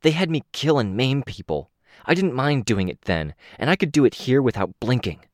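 A man's voice: medium pitch, big pitch swings, moderate pace, dry recording, studio quality, voice pushed up, close to an everyday voice. A man reading an audiobook, voicing a cold, menacing young woman who used to kill people, with a hard, clipped voice.

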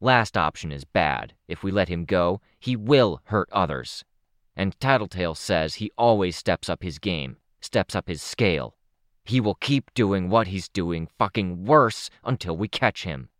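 A man's voice: low voice, lively intonation, measured pace, fairly bright tone, no room sound, studio quality. A man voicing a blunt, tough woman who talks in short, clipped sentences. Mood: grim, blunt. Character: blunt tough woman, terse, plain-spoken.

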